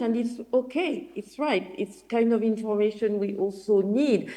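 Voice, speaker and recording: Woman, French accent, video call, mellow dark tone, earnest remote panel talk. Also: mid-range voice, poor audio.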